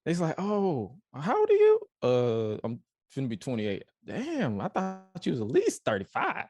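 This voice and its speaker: A man's voice: on the high side, wildly swooping pitch, a little slow, a little mellow. A man in his twenties hosting a podcast, talking candidly in a laid-back way and acting out people he quotes. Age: 20s. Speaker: podcast host. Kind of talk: casual podcast chat.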